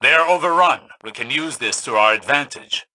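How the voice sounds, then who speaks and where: An actor playing a stern, shrewd veteran military spymaster in a game, speaking in a measured, commanding, cryptic way. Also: male voice, mid-range voice, lively intonation, sharp tone, dry recording, rough recording. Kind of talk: scripted game voice acting.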